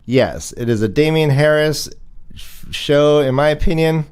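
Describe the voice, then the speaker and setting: A man's voice: on the high side, a little slow, darkish tone, clear, resonant tone. A man hosting a casual fantasy football show, bantering and announcing with jokey, theatrical emphasis. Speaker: livestream show host.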